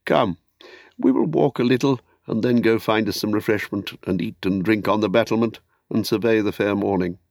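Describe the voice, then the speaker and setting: A man's voice: fairly low pitch, normal speed, creaky, a little mellow, no room sound. A hearty guardsman of a fantasy city, as a man reader plays him. He is friendly and hospitable, speaking in formal, archaic phrases with courteous ease. The recording is dry and close. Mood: inviting. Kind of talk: audiobook character acting.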